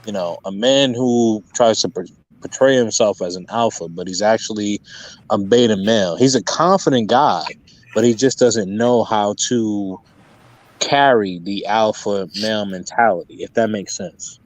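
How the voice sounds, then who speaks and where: A man's voice: on the low side, moderate pace, low-quality recording. A man appearing as a guest on a livestream, explaining his views hesitantly with many fillers. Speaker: guest content creator. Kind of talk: casual interview talk.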